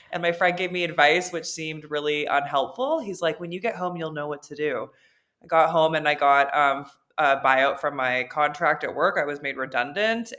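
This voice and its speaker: A woman's voice: deep voice, a bit bright. A woman from Canada, a podcast guest, tells her story in an upbeat, chatty way. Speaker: interview guest. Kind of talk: casual storytelling.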